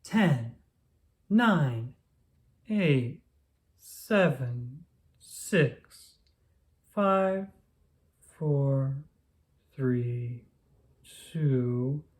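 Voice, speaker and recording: A reader playing a dreamy, animated storybook bear. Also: mid-range voice, very slow, pauses often. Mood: determined. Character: dreamy, eager storybook bear, earnest and naive. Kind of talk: children's storybook read-aloud.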